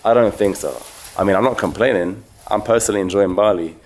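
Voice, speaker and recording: A man hosting a vlog and chatting with people on the street in a relaxed, agreeable way. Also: on the low side, fast talker, slight background noise. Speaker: street interviewer and vlog host. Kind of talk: casual vlog narration and street interviews.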